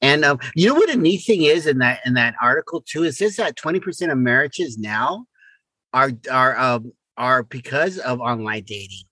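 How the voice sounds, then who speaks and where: A man's voice: mid-range voice, expressive, moderate pace, bright, forceful tone, no room sound, rough recording. An older man co-hosting a casual podcast chat, stammering and repeating words, often exclaiming. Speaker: podcast co-host.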